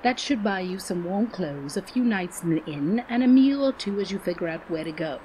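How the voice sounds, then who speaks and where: A woman's voice: mid-range voice, lively intonation, normal speed, warm tone, slight background noise, natural voice, low-quality recording. A woman playing a stern, commanding queen who speaks formally in an audio drama. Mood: stern, measured. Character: stern queen granting grudging mercy to released prisoners.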